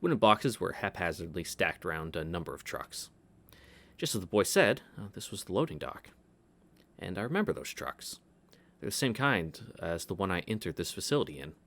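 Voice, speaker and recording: A man's voice: low-pitched, big pitch swings, normal speed, fairly bright tone. A man reading a visual novel aloud as a let's-play host, breaking off into casual asides.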